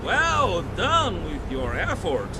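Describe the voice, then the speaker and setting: Scornful Brazilian bad guy, very high pitched, animated swings, sarcastic, action game drama. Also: takes it slowly, full character voice. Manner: mocking, scornful. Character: sneering, sarcastic male villain mocking the hero. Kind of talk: video game cutscene acting. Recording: loud music over the dialogue.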